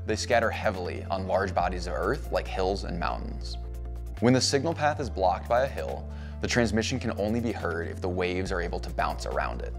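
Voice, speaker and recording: Low-voiced man explaining radio range. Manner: clear, measured explainer. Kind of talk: scripted educational narration. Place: indoor cabin-style studio.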